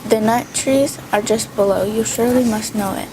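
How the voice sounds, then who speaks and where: A woman's voice: a little high, moderate pace, background noise, natural voice. A woman reading the lines of a sweet, trusting young girl from a storybook.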